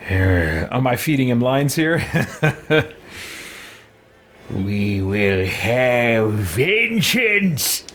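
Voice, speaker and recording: A man roleplaying in character during a video game let's play, speaking in a deliberate, theatrical way. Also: mid-range voice, big pitch swings, a bit bright.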